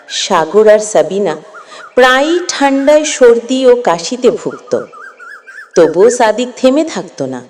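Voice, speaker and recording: A woman narrating a scripted story with measured, expressive phrasing. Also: medium pitch, a little hiss or hum.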